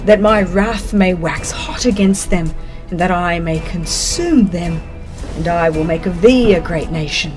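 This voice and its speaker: A woman voicing a wrathful, commanding God in a solemn, deliberate manner for a dramatized scripture audiobook. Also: lowish voice, pitched below the performer's own voice, natural voice. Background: background music.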